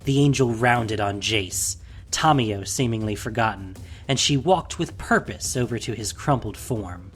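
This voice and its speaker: A man narrating a scripted fantasy audio drama in a dramatic, deliberate way, with a breathy voice. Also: on the low side, fairly bright tone, faint music behind.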